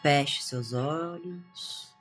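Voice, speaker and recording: A Brazilian woman guiding a meditation in a calm, hushed manner with long pauses. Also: low voice. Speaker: meditation guide, integrative therapist. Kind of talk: guided meditation. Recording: music behind the voice. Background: meditation music.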